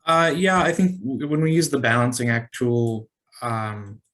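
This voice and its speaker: A man's voice: medium pitch, steady tone, unhurried, a bit bright. A man working as a city staffer, answering questions on a conference call in a careful, hesitant way. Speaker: city planning staffer, committee liaison.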